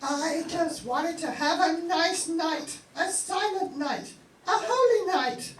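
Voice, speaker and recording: A very high, slow voice, a woman acting a put-upon young mother. She is plaintive and emphatic in a comic radio sketch, recorded in an echoey room. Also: far from the mic. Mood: exasperated. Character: exasperated young new mother Mary, doting and put-upon. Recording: roomy, off-mic live recording.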